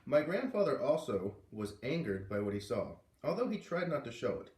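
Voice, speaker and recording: A man reads a children's story aloud, bouncing into character voices with big leaps in pitch. His voice sits in the middle of the male range. The sound is roomy and a little distant, as if the mic is across the room. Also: very animated, moderate pace, a little mellow. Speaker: reader reading aloud to kids.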